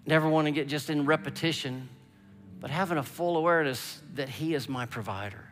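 A man's voice: a little high, fairly quick, fairly bright tone. A man in his fifties preaching a sermon from a large church stage, emphatic and calling out "come on" and "amen", mostly on a headset mic. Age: 50s. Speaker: pastor. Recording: long reverb of a large hall.

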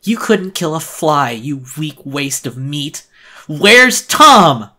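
Horror audiobook villainess, played by a man: vicious animal monster, high strained voice, unhinged and menacing. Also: very animated, slow pace, pushed and bright, heavily acted. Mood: raging. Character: deranged female animal monster, vicious, obsessive and anguished.

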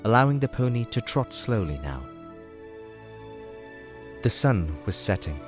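A man narrating a scripted fantasy story as the narrator of an audio drama. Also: fairly low pitch.

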